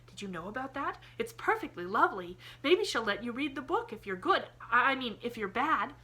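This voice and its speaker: A woman's voice: on the high side, sing-song, brisk, pushed and bright, slightly rough, pitched above the performer's own voice, exaggerated voice. A woman reading an audiobook, voicing an eager, endlessly cheerful young girl who chatters breathlessly in quaint old-fashioned phrasing. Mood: excited. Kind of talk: audiobook character voice.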